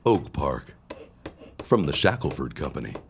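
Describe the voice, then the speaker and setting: A man's voice: very deep voice, big pitch swings, measured pace, vocal fry, noisy background, full character voice. Casting: old-time male advertisement announcer, formal tagline delivery.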